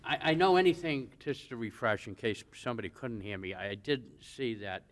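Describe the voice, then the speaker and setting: A man serving as an alderman, asking questions at a city board meeting in a loud, chatty, booming voice. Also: moderate pace, recorded from a distance.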